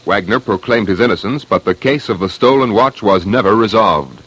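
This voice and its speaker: A man's voice: fairly low pitch, expressive, brisk, very noisy. A man making terse, dramatic old-time radio announcements. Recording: loud music over voice.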